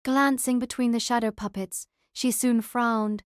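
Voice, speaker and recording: A woman reading a novel as audiobook narrator, in a measured, literary storytelling manner. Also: fairly high pitch, fairly even intonation, dry recording, clean studio sound.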